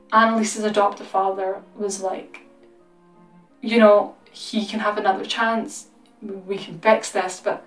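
A woman in her twenties telling a story casually as a vlog host. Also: mid-range voice. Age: 20s. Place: small room at home with costumes. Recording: roomy echo, distant microphone, music underneath.

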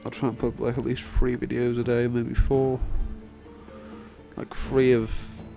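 A man's voice: medium pitch, monotone, a little slow, gravelly, mellow. A young man rambling casually over a video game in a self-deprecating, sweary way, his voice tired from long talking. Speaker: video game let's player. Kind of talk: casual rambling gameplay commentary. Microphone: microphone, voice-over on game video. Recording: music playing behind the voice. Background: game end-credits music.

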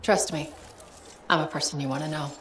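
An actress playing a poised, commanding middle-aged official giving out missions in a sci-fi video game, measured and self-assured. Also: female voice, deep voice, fairly even intonation, reverberant, close to an everyday voice. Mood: confident.

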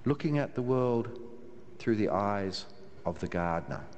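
An older man, a minister, giving a measured sermon from the pulpit of a large church, with a wry touch and slow, emphatic pauses. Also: lowish voice, sing-song, darkish tone, muffled recording. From Australia. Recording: long church reverb, faint room hiss.

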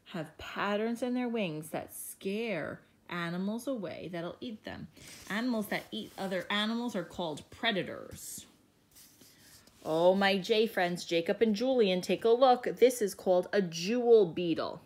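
A woman teacher reading a picture book aloud to young children, gently and expressively, with gasps and hushed whispers. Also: medium pitch.

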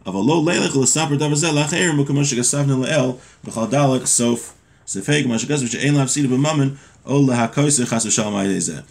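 Male teacher, 30s, middle-pitched, explanatory and informal, reading a text and talking it through at a desk at home. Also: a little fast, creaky. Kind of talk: informal lesson, reading Hebrew text aloud and explaining it in English. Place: small home room with bookshelves, indoors.

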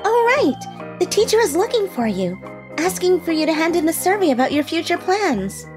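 A woman playing a friendly, gentle junior high school girl in an animated manga story. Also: normal speed, music in the background. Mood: sweet, cheerful.

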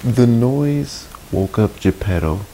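A man in his thirties reading a children's storybook aloud, deliberately and expressively, with friendly asides. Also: mid-range voice, animated, dark tone, poor audio. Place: small indoor room, seated on a couch. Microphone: clip-on lapel mic. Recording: faint background hiss.

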